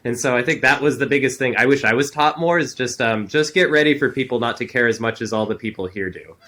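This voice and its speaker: A man co-hosting a podcast, explaining his views at length in a relaxed, reflective way. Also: mid-range voice, quick pace. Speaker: podcast co-host, designer. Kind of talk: casual podcast conversation.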